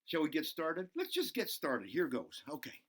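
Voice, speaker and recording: A man voicing an excitable, goofy buzzard who hosts a children's show, playful and giggly as he talks to himself and to the kids. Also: on the high side, moderate pace, full character voice. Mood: chirpy, eager. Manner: giggly, self-interrupting, talks to himself in third person. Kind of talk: playful craft demonstration for children.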